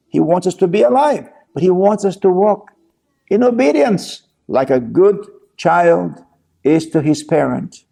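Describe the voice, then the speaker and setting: A man from Israel giving an earnest sermon and reading scripture aloud at a slow pace. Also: high-pitched, gravelly, mellow. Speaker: teacher of scripture.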